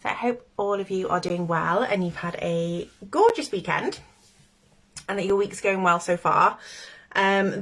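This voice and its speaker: A woman's voice: mid-range voice, fairly bright tone. A woman hosting a casual livestream with a stuffy, congested voice from a cold, chatty and meandering, often breaking into laughs. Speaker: livestream host, spiritual coach.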